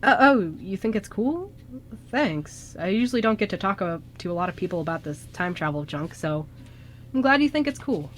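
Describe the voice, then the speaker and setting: A woman's voice: medium pitch, very animated, normal speed, close to an everyday voice. A woman acts out an anxious young guy who travels through time. He stammers and trips over himself, flustered and hesitant, in a homemade radio play with faint noise on the recording. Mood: bashful, pleased. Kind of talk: amateur radio drama acting. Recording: noisy amateur radio show recording.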